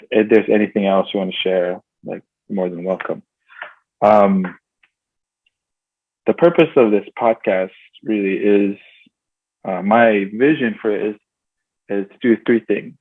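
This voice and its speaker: A man's voice: lowish voice, no room sound. A man hosting a casual interview podcast, talking with many fillers and restarts. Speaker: podcast host. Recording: tinny phone-like sound, dry.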